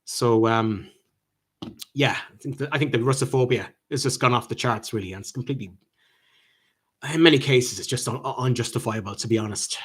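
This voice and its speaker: An Irish man hosts a live chat and answers his viewers' comments one by one. He talks at a middling pitch for a man and stops often to restart his sentences with plenty of ums. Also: husky. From Ireland. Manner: halting, with many ums and restarts. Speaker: channel host answering viewer questions.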